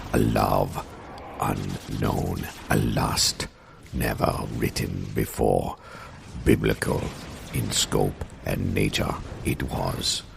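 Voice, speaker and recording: Ominous trailer voice, rock-bottom pitch, heavy gravelly creak, portentous broken phrases, noisy ambience. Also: male voice, full character voice. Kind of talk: parody movie trailer narration. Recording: clear background ambience noise.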